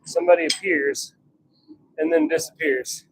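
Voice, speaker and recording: A man's voice: a little high, fairly even intonation, normal speed, background noise. A man giving casual livestream commentary as he works, muttering short, wry remarks to himself and his viewers. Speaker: livestream host forging knives. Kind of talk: casual livestream chat and running commentary while working. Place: blacksmith workshop with forge.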